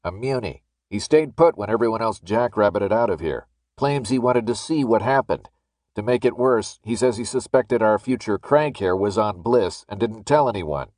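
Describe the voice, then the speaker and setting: A man's voice: fairly low pitch, expressive, normal speed, darkish tone, dry recording, natural voice. A man voicing a callous, sneering masked guard in an audiobook, barking threats and gloating. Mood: scornful. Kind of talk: audiobook character acting.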